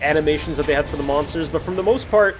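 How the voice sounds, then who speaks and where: Higher-pitched man narrating gameplay over music. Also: fast talker. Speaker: host of a gameplay series. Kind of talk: casual post-recorded game commentary. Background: music under the voice.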